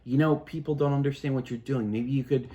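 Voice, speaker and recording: A man in his fifties, a father explaining things in a calm, casual chat at home, pausing and restarting as he thinks. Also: mid-range voice, highs cut off. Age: 50s. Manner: thoughtful, halting, many restarts and fillers. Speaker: parent.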